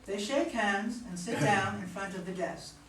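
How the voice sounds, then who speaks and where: A woman's voice: mid-range voice, normal speed, a bit bright. Woman reading stage directions, echoey and noisy. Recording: echoey room, far mic, clear background noise.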